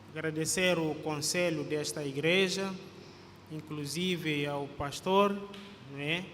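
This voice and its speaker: A man from Guinea-Bissau with a Guinea-Bissau Portuguese accent, a visiting pastor who speaks slowly in a high voice. He greets the congregation and reads scripture, often ending phrases with a questioning tag. His voice carries a long church-hall reverb over a faint background hiss. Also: a bit bright.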